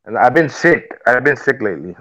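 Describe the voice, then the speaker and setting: A man's voice: mid-range voice, sing-song, brisk, fairly bright tone, muffled recording. A man in his forties from Tijuana, Mexico, telling personal stories in a casual, rambling way and often repeating himself. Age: 40s. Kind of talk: casual chat, personal storytelling.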